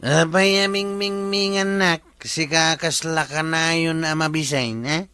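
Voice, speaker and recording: A man's voice: a little high, fairly even intonation, measured pace, bright, forceful tone, soft background music. A man with an Ilocano accent playing a swaggering village watchman in a comedy drama, bossy and brash as he throws his weight around. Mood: bullying. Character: swaggering bully, village watchman abusing his uncle's position.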